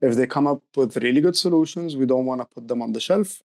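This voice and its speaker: Middle-aged man with creaky voice. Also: mid-range voice, quick pace, a little mellow. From Egypt. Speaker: youth program director, interview guest. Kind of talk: conversational interview explanation.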